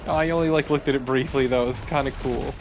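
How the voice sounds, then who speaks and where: A man's voice: medium pitch, steady tone, fast talker. A man giving casual, flippant game commentary in a conversational co-commentator style. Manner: flippant, sweary. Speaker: let's play co-commentator. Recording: clear background game noise. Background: video game sound.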